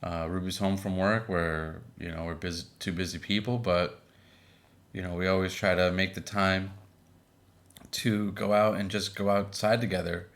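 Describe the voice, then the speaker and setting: A man in his thirties co-hosting a podcast with his wife, chatting casually with a relaxed, filler-laden manner. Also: sits low, normal speed. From Boston area. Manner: relaxed, many fillers and restarts. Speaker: podcast co-host. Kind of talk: casual chat.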